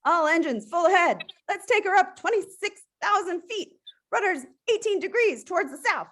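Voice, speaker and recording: A bold eight-year-old cartoon adventurer girl, acted out by a woman, bossy and excitable. The voice sits high and swings widely as she issues brash commands. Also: moderate pace. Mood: commanding, playful. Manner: brash, commanding, animated delivery. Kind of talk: table read of an animated film script.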